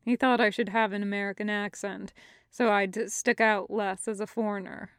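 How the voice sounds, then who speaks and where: A woman voicing a reserved, polite man with dry humour and a soft-spoken, measured delivery in an audiobook-style story. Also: mid-range voice, fairly even intonation, clear, resonant tone, dry recording, pitched below the performer's own voice, natural voice.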